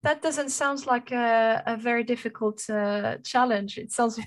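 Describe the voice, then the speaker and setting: A woman from Belgium with a French accent, speaking as a guest in an interview, measured and with frequent fillers. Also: on the high side. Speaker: guest, sustainability consultant. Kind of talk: conversational interview.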